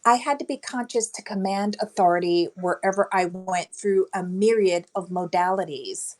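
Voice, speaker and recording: A woman with a light voice speaking as a guest on an online panel about leadership, in a measured, deliberate way. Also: medium pitch, a little mellow. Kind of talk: panel talk answering a host.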